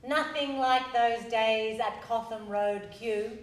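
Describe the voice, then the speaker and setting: An actress performing an earnest young garage worker and narrating in a live radio play. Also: female voice, on the high side, steady tone, takes it slowly. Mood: narrating, wistful. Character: earnest young garage girl in period drama. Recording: echoey stage, faint noise.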